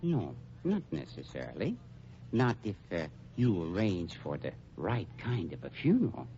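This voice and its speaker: An actor playing a suave, mercenary funeral director in an old radio crime drama, speaking with pompous, deliberate diction. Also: male voice, sits low, huge pitch swings, warm tone, exaggerated voice. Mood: smooth, mercenary. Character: suave, shrewd funeral director, coolly mercenary double-crosser. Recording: dull, noisy old radio recording.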